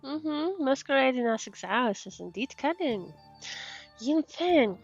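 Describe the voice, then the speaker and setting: Unhurried female game streamer, slightly dull sound. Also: sits high, very animated. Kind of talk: casual game playthrough commentary, reading dialogue aloud. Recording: dull band-limited mic, game music faintly behind. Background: faint game music.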